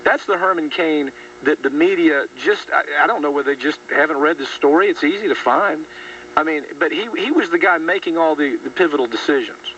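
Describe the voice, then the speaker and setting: Brief: male talk radio host, fairly high, bright voice, indignant, restarts a lot, muffled distant radio replay. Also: animated, a little fast. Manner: indignant, rambling with frequent restarts. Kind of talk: political talk radio discussion. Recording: distant, muffled radio rebroadcast with faint hum.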